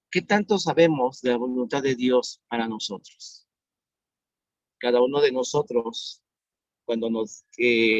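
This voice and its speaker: A man in his fifties preaching an online sermon as pastor, earnest and repeating his questions slowly for emphasis. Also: mid-range voice, a little mellow, dry recording. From Mexico. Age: 50s. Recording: dull dry sound.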